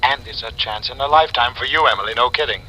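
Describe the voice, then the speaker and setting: An actor in 1940s radio style playing a brisk, slick newspaper syndicate man with a clipped, persuasive salesman's delivery. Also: medium pitch, pushed and bright, rough recording. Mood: eager, coaxing. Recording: vintage radio broadcast, faint noise.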